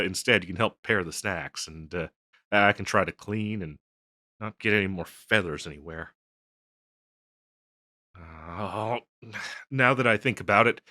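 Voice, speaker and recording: A man's voice: lowish voice, sharp tone, dry recording, studio quality. A man playing a flustered, self-conscious harpy boyfriend in an intimate audio roleplay, speaking hesitantly and apologetically with stammers. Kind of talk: scripted audio roleplay, intimate one-sided dialogue.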